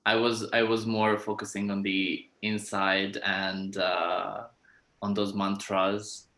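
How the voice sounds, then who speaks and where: A man's voice: on the low side, little pitch movement, takes it slowly, pushed and bright, reverberant. A man with a Hungarian accent, taking part in an online meditation group. He talks hesitantly and searches for his words. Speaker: meditation participant. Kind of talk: casual group chat. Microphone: video call.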